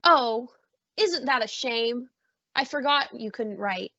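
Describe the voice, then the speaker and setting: Woman acting a bright, high-voiced doting mother. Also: lively intonation, takes it slowly, no echo, dull audio, exaggerated voice. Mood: fond, reassuring. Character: doting, well-off middle-aged mother, gentle and coaxing. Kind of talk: old-time radio drama acting.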